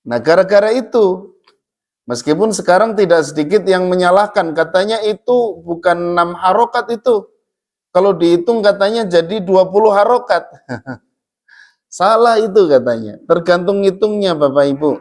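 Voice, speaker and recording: A man teaching Quran recitation in a mosque, chatting and joking, and reading verses aloud to show how they sound. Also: sits high. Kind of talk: casual religious study talk.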